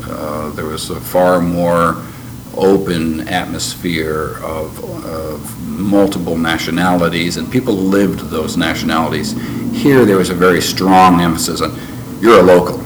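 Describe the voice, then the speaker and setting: An older man who grew up in Canada, recalling his past in an interview in a measured, reflective way with frequent pauses. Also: deep voice, steady tone. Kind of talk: oral history interview. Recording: noisy background ambience.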